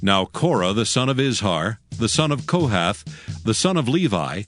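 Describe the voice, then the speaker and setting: A man's voice: on the low side, expressive, moderate pace, dry recording, clean studio sound, faint music behind. A man narrating scripture from a script in a formal, solemn manner.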